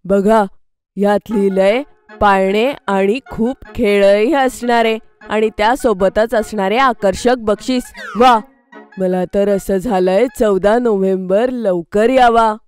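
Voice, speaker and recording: A woman's voice: mid-range voice, slow, darkish tone, clean tone, faint music behind, natural voice. A woman voicing an earnest young schoolboy in a children's cartoon story, with a boyish manner. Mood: eager. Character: eager young schoolboy, easily disappointed.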